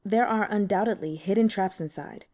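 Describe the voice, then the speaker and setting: A woman voicing a shrewd, dry, sickly male investigator in an audiobook, explaining things in a measured, matter-of-fact way. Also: mid-range voice, mellow, natural voice. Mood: dry, unmoved. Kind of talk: audiobook character dialogue.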